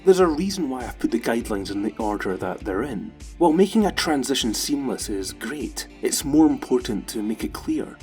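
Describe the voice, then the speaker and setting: A man's voice: medium pitch, big pitch swings, moderate pace, few pauses, a little mellow, faint music behind. A man delivering scripted narration as the presenter of a video essay.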